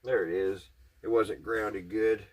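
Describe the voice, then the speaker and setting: A man's voice: fairly low pitch, steady tone, slow pace, darkish tone, husky. A man giving casual, hands-on repair commentary, folksy and musing aloud to himself as he works. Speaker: hobbyist repair vlogger.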